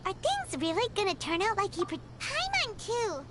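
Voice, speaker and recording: A woman voicing a small, perky, childlike fairy companion in a video game, with a squeaky voice. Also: huge pitch swings, moderate pace, full character voice. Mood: excitable, puzzled. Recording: loud noise and clicks over game audio.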